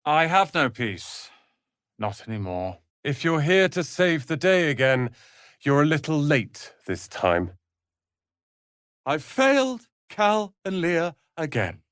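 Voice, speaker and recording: A man's voice: a little high, big pitch swings, takes it slowly, a bit bright, smooth, no echo, clean studio sound, full character voice. A man playing a proud, prickly young apprentice wizard in a video game, speaking in a haughty, clipped and articulate way. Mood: anguished. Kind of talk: dramatic video game voice acting.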